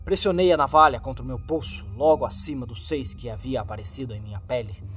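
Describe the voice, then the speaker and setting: A man's voice: mid-range voice, quick pace, fairly bright tone. A man with a Brazilian accent reading a scripted horror story as narrator, in a grave and dramatic manner. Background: background music.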